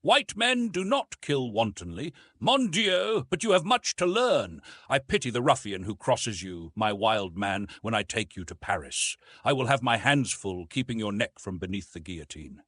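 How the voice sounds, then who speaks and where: A man voicing a lively French officer in an audiobook story, exclaiming and persuading. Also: on the low side, normal speed, hardly any pauses, slightly rough, no room sound, studio quality, heavily acted. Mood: urgent, chiding. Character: lively, principled French naval officer urging restraint.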